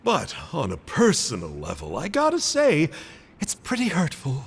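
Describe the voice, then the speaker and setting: A man's voice: fairly high pitch, wildly swooping pitch, normal speed, creaky, long reverb tail, a little hiss or hum, exaggerated voice. A man voicing a cheerful, cheeky male agent of a villainous order, mock-polite and teasing.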